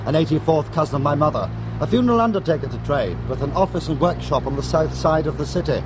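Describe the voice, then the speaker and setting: An actor playing a wry, cool-headed criminal courier in a radio drama, speaking in a conversational way. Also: male voice, on the high side, animated, quick pace, background noise, natural voice. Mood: wry, reflective. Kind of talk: radio drama acting, anecdotal dialogue.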